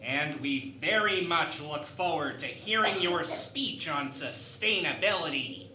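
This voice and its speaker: An actor playing an elderly, courteous alien ambassador in a sci-fi parody radio play, speaking formally and politely. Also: male voice, a little high, lively intonation, a little slow. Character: elderly, courteous alien ambassador, long past mating age. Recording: roomy, echoey amateur recording with faint noise.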